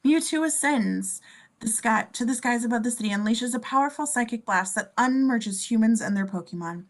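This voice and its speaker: A woman's voice: a little high, normal speed. A woman narrating scene descriptions from a script at a table reading. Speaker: stage directions narrator.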